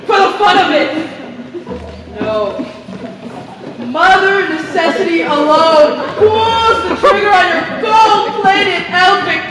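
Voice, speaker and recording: A woman's voice: very high voice, recorded from a distance, heavily acted. Woman acting bold eccentric hunter lady in a play, noisy echoey hall. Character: bold, eccentric big-game huntress among ward women. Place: theater stage, far from the mic.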